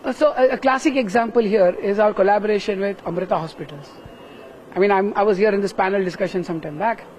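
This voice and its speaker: A man's voice: sits high, fast talker, darkish tone, slight background noise. A man with an Indian English accent, a professor explaining his work in an interview in an earnest, step-by-step way. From Kerala, India. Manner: earnest, explains step by step with frequent "right?" tags. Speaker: professor interviewed at a tech summit. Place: busy summit venue.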